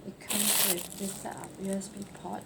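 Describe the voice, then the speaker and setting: Very gravelly Singaporean woman, halting. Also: medium pitch, flat delivery. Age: late 40s. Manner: halting, with trailing "ah" particles. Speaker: vlogger. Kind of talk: casual unboxing commentary. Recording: noisy amateur home recording.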